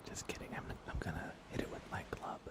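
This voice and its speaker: Whispering man streaming a video game. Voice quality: soft, breathy whisper. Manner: unhurried, gently playful, chatting to viewers. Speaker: livestreamer playing a video game. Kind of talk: whispered ASMR gaming commentary.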